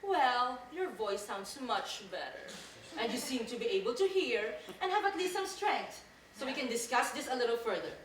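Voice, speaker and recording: A woman playing the brisk, shrewd sister of a sick man, mocking and plotting in a live stage play. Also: a little high, expressive, fairly bright tone. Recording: echoey theater from far off, noisy.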